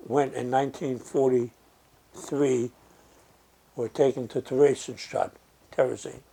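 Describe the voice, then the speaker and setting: An older man from Germany, speaking slowly and reflectively in an oral history interview, with frequent pauses and fillers. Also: mid-range voice, gravelly, mellow, breathy edge.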